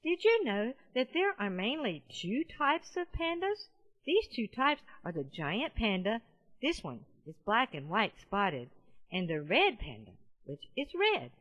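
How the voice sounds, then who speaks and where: A woman as a very animated, friendly storybook panda. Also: a little high, a little slow, dull audio, pitched above the performer's own voice, exaggerated voice. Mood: cheerful, explaining. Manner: animated, playful, addresses young listeners. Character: friendly giant panda teaching children about pandas. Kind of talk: children's audiobook narration.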